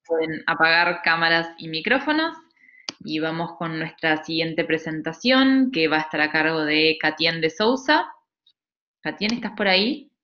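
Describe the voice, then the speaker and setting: A woman's voice: deep voice, animated, moderate pace. A woman with an Argentine accent moderating an online conference session in spontaneous, practical speech over a video call. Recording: dull, band-limited video call audio.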